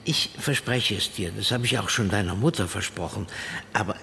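A man's voice: fairly low pitch, normal speed, vocal fry, bright, forceful tone, slight background noise. An older man acting an absent-minded, muddled grandfather in a radio drama, hesitant and distracted. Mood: sad, insistent.

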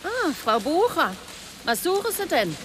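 High-voiced, polite, unsuspecting daughter. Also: female voice, very animated, unhurried, noisy background, full character voice. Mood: politely curious. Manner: polite, casual. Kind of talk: German radio drama acting.

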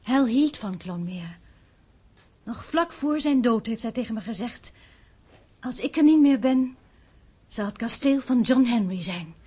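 An actress in a radio drama playing a gentle, proud young widowed mother with a polite, reserved manner. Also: on the high side, expressive, measured pace, breathy edge. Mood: proud, wistful. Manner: soft-spoken with her child, politely reserved.

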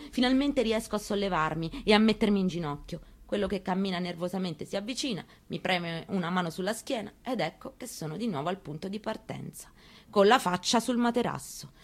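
An actress performing a first-person theatrical monologue reading, in a measured, intimate and quietly intense way. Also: female voice, medium pitch. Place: radio broadcast of a stage reading.

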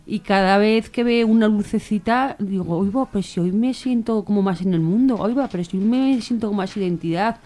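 A woman's voice: medium pitch, normal speed, hardly any pauses, mellow. A woman sharing personal experience unscripted on a radio show, emphatic and repeating words for weight.

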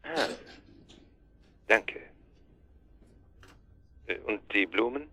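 Curt man with a sharp voice. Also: on the low side, expressive, extremely slow, a little hiss or hum, heavily acted. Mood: curt, irritated. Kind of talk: radio drama acting.

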